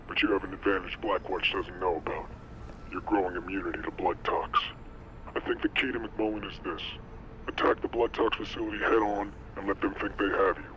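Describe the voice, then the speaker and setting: An actor playing a secretive informant in a video game, giving a measured, conspiratorial briefing. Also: male voice, deep voice, lightly acted. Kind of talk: video game cutscene acting. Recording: dull radio-like sound, faint noise.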